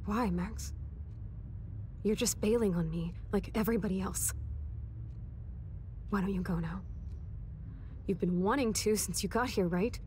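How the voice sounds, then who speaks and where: An actress playing an ailing young American woman in a video game, soft and casual, sentimental and weary as she talks to a friend. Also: medium pitch, measured pace, long pauses, a little hiss or hum, close to an everyday voice. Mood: hurt, bitter. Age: young adult. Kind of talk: naturalistic video game cutscene acting.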